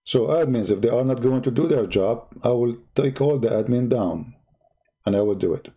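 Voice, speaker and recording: Combative man, native Arabic speaker, husky. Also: medium pitch, normal speed, mellow. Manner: combative, mocking, repeats rhetorical questions. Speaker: online debate host. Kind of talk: heated live-stream commentary.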